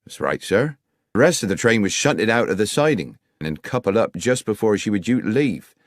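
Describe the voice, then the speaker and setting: A man's voice: lowish voice, animated, moderate pace, no echo, studio quality. A man voicing an amiable, deferential railway foreman in his fifties, plain-spoken and respectful, for an audiobook. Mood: helpful, respectful. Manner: plain-spoken, respectful, working-class turns of phrase.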